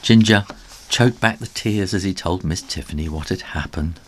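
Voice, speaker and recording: A man reading a children's story aloud as its author, in an animated storybook narration style with a husky voice. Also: sits low, normal speed.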